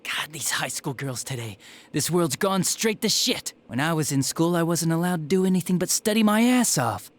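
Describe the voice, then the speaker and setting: An actor playing a smug, spiteful young detective villain in a video game, with a sneering, flippant and theatrical manner. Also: male voice, on the high side, lively intonation, normal speed, lightly acted. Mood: disgruntled. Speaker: police detective. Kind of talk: dramatic video game voice acting.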